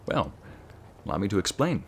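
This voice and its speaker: Very deep-voiced American wizard professor, played by a man. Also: sing-song, slight background noise. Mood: earnest, grave. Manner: measured, formal, teacherly, addressing students directly. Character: encouraging, scholarly male wizard school professor lecturing his class. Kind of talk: ASMR roleplay as a classroom lecture.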